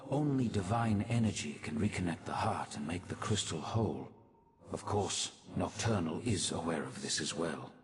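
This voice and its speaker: Low, solemn game mage. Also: male voice, animated. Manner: formal, measured, instructive. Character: solemn, learned male mage advisor explaining arcane lore. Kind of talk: video game character dialogue.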